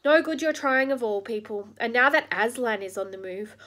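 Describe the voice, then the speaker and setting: A woman voicing a gloomy, kindly male beaver in a storybook reading, speaking slow and grave. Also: on the high side, expressive, a bit bright. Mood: earnest.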